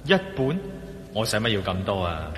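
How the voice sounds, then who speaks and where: An actor playing a shrewd, defiant adventurer hero in a Cantonese radio drama, speaking with dramatic scorn and a Hong Kong Cantonese accent. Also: male voice, lively intonation, moderate pace, natural voice. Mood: cool, shrewd. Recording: echoey hall, rumbling background noise.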